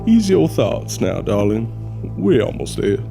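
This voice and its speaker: A man's voice: lowish voice, sing-song, measured pace, vocal fry, over music, full character voice. A man playing a courtly but crotchety small-town mortician in an audio drama, with a Southern US accent and a folksy, hammy drawl. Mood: courtly, reassuring.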